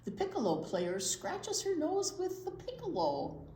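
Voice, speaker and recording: An American woman reading aloud at a storytime for young children, expressive, with drawn-out words and chatty asides. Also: high-pitched. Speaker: children's librarian and storytime reader. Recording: roomy echo, faint background noise.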